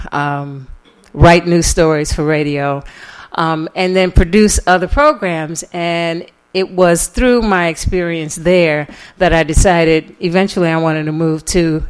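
Low-pitched middle-aged American woman, conversational speaker. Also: clean tone. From New York City, USA. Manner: conversational, animated retelling with quoted dialogue and chuckles. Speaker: guest lecturer and author. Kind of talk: informal public lecture, personal storytelling.

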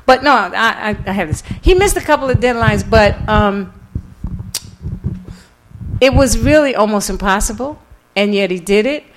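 A woman giving a public lecture as an author, telling the story in an emphatic, deliberate way with repeated phrases. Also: mid-range voice, animated, a little hiss or hum, low-quality recording. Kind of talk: lecture with audience questions. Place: public lecture event, live before an audience.

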